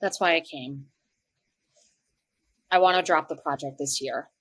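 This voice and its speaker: A woman's voice: sits low, unhurried, fairly bright tone. An actress playing a worried, hesitant untenured woman teacher in a podcast drama.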